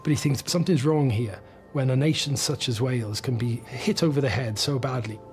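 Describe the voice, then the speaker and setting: A man's voice: medium pitch, fairly quick, a little mellow. Man explaining history in an interview. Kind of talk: documentary interview.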